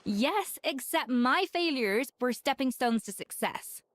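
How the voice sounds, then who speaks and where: A woman's voice: high voice, expressive, measured pace. A woman playing a proud, exacting young chef in an anime game, self-assured and impatient. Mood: exasperated.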